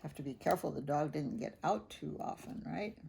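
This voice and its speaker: A woman reading a picture book aloud to children, gently chatting and stopping to ask the listener questions. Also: low voice, animated, brisk, gravelly, background noise. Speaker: storybook reader.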